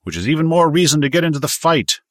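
A man's voice: a little high, sing-song, fast talker, no room sound, studio quality, pitched above the performer's own voice. A man voicing a bitter, indignant businessman in an audiobook, earnest and insistent. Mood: bitter, urgent. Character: bitter, indignant businessman son of Polish parents.

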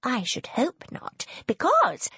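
A woman voicing a spiteful, jealous young society lady in an audiobook, sharp and imperious. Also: sits high, huge pitch swings, takes it slowly, breathy edge, no room sound, voice pushed up, full character voice. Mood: spiteful, warning.

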